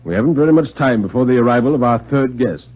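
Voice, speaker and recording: A man's voice: medium pitch, expressive, fast, breathy edge. A man voicing a genial but secretive retired English police detective in a radio story, courteous and commanding. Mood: firm, confiding. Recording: muffled vintage 1950s radio recording.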